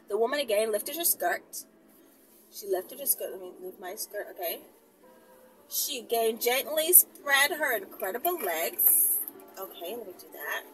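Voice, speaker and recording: Female storyteller, high-pitched and very animated, teasing and theatrical, recorded away from the mic with music. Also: a little slow, long pauses, recorded from a distance, low-quality recording. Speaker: storyteller, channel host. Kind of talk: dramatic story reading with playful asides.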